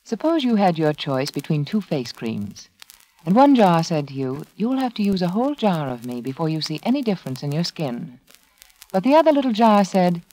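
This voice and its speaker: A woman's voice: lowish voice, dark tone, poor audio. An American woman reading a scripted 1940s radio advertisement in an instructive, persuasive manner. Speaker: beauty authority advertiser.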